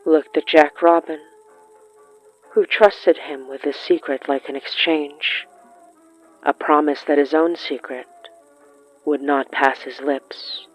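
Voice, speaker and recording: A narrator telling a bittersweet period tale in a tender, solemn, measured dramatic reading with deliberate pauses. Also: medium pitch, fairly even intonation, dull audio, soft background music. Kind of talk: slow dramatic scripted narration of a horror fiction podcast.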